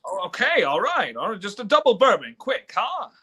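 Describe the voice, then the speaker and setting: A man's voice: high voice, sing-song, moderate pace, sharp tone, breathy edge, full character voice. A man playing a despairing, folksy everyman who stammers, in a live reading of an old-time radio play. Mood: flustered. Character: despairing, stammering everyman wishing he was never born.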